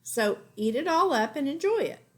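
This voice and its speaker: Woman voicing storybook mother, kindly, unruffled, coaxing, raised pitch. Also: big pitch swings, normal speed, fairly bright tone.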